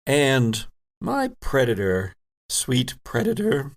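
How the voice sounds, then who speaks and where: A man working as game master, narrating a tabletop horror game in a theatrical, drawn-out storytelling manner with a gravelly voice. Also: medium pitch, huge pitch swings.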